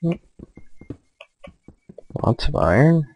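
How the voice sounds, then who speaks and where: Slow, creaky-voiced man playing a game. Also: sing-song, a little mellow, a little hiss or hum. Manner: low-key, hesitant, musing to himself. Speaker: gamer, let's play host. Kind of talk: casual let's play commentary.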